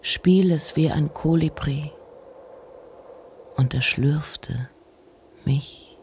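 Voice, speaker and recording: A woman with a deep, soft-toned voice recites verse. She takes her time, setting each short phrase apart in a hushed, deliberate delivery. A little ambient noise sits faintly behind her. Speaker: poetry reader. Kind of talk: slow, measured poetry recitation in German.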